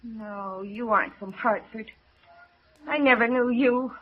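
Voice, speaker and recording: A woman's voice: fairly high pitch, measured pace. An actress playing a desperate, distrustful young woman in a 1950s radio drama, tense, defiant and emotional. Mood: suspicious. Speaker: radio actor. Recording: muffled old radio recording.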